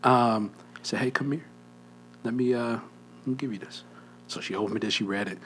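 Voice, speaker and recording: A man's voice: medium pitch, moderate pace, a bit bright, slight background noise, low-quality recording. A young man co-hosting a podcast, telling a story in a casual chat, often dropping to a near whisper and laughing. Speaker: podcast co-host.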